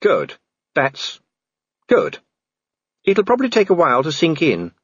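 Man playing a husky, blunt police commander. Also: a little high, huge pitch swings, a little slow, no room sound, highs cut off, voice pushed up, exaggerated voice. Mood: dazed, flustered. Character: gruff, flustered male police commander.

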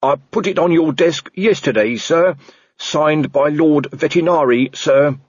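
A man's voice: on the high side, measured pace, breathy edge, no echo, highs cut off, pitched above the performer's own voice. A man doing a politely insistent young constable. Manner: politely insistent, ends lines with sir. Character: earnest dogged polite young male constable.

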